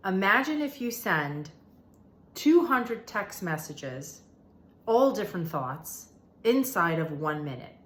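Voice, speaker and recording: A woman's voice: medium pitch, fairly bright tone. A woman hosting an informal spiritual explainer, talking to viewers in a conversational, emphatic way and repeating phrases for emphasis. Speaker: host of a manifestation and meditation channel. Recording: roomy, echoey room sound.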